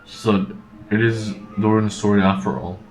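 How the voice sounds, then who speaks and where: A man's voice: lowish voice, steady tone, moderate pace, reverberant, poor audio. A man giving casual commentary as he streams a video game. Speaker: streamer reacting while playing a game.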